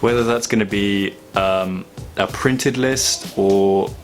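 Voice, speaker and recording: Low-voiced young man, casual vlogging over music. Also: slow pace. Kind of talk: casual reflective vlog monologue.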